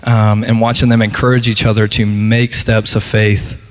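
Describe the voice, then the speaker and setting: A man who serves as a student pastor shares his thoughts earnestly, often pausing with fillers. His voice is on the low side and stays sincere. The church hall adds a long, trailing reverb. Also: little pitch movement. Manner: earnest, hesitant with many ums. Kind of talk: casual church testimony. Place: church sanctuary.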